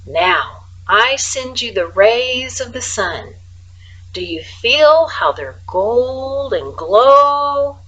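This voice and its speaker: A woman voicing a calm, dreamy male field mouse poet in a children's storybook, speaking slowly and earnestly. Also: on the high side, husky, lo-fi sound, voice pushed up, full character voice. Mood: gentle, hypnotic. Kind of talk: children's storybook read-aloud.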